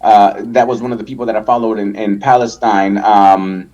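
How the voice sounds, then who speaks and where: Halting, low-voiced man from El Salvador. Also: fairly even intonation, darkish tone, muffled recording. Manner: halting, many restarts and fillers, swears freely. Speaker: interview guest. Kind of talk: casual conversation.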